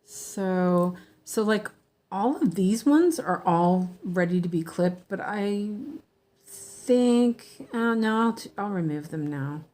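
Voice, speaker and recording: Slow-talking hoarse woman stitching at home. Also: medium pitch, expressive, warm tone. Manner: chatty, rambling, many ums and self-corrections. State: hoarse from allergies. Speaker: cross-stitch streamer. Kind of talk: casual stitch-along chat.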